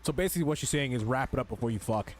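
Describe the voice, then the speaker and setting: A man's voice: medium pitch, expressive, very fast. A man doing casual, profane gaming commentary, joking and reacting in slang. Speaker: gamer reacting to a story quest. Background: faint game music.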